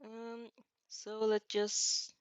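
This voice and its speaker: A woman's voice: on the high side, completely flat delivery, drawn-out words, clean tone, dry recording. A woman hosting a casual fanfiction read-aloud, hesitant and giggly, often correcting herself as she goes. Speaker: host of fanfiction reading show.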